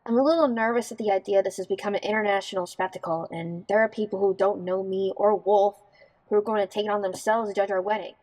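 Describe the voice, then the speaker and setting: A woman playing a down-to-earth, warm-hearted young bride in an audio drama, natural and wry in delivery. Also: medium pitch, fairly even intonation, fast talker, lightly acted, poor audio. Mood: self-conscious.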